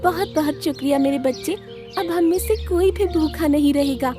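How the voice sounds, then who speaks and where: Lady doing a sweet motherly cartoon bird, really high voice, kind and tender. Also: moderate pace, music in the background, lightly acted.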